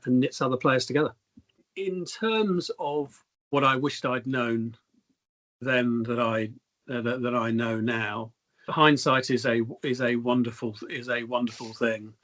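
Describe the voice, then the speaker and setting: A middle-aged man, a company chief executive, answering interview questions in measured corporate phrasing full of fillers. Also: mid-range voice. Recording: dull lo-fi sound.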